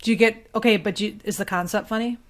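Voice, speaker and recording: A woman's voice: medium pitch, steady tone, fast talker. A woman guest on a podcast, talking casually and very informally. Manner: informal, filler-heavy talk peppered with "like". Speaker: podcast guest, local journalist.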